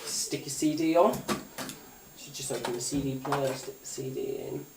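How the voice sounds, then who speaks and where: A British speaker giving a casual hands-on demonstration of a gadget, thinking aloud in a hesitant, halting way. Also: mid-range voice, steady tone, recorded from a distance, background noise. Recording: roomy echo, off-mic, radio and music playing. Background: music from the player being shown.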